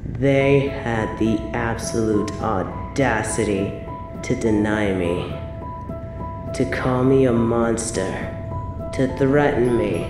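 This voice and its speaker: Slow, menacing evil queen. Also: very deep voice, echoey room, over music. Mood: cold, menacing. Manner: theatrical, menacing, mock-tender, drawn-out phrasing. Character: evil obsessive possessive queen, cruel, gloating, sadistic. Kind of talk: scripted audio roleplay drama, addressed to the listener.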